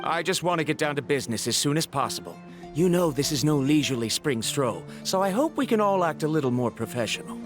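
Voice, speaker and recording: Casting: uptight young scholar, a man voicing him, fairly high and lively voice, light game music. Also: quick pace, close to an everyday voice. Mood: earnest. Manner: serious, precise, businesslike. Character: earnest young male scholar, stiff. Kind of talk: video game voice acting. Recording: game audio with music behind.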